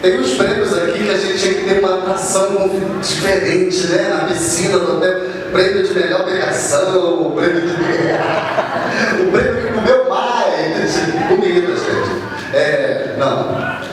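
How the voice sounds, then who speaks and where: A man with a high, light voice hosts an awards night from the stage, teasing the crowd and stretching out each announcement. He sounds far from the mic, his voice ringing through a big echoing hall, with loud music behind him. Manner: playful, teasing, drawn-out announcements. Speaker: awards ceremony host. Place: theater stage. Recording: echoey hall, distant mic.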